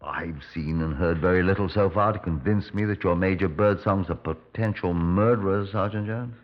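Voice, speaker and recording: An actor playing a measured, courteous middle-aged British Scotland Yard superintendent, narrating and questioning in a 1950s radio drama. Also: male voice, low voice, lightly acted. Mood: skeptical. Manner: measured, polite, probing. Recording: muffled 1950s radio recording.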